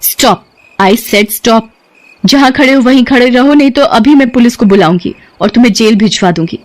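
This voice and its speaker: A woman's voice: a little high, normal speed, natural voice. A woman voicing a principled female tutor in an audio story, firm and admonishing in manner. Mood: outraged. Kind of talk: dramatized audio story acting.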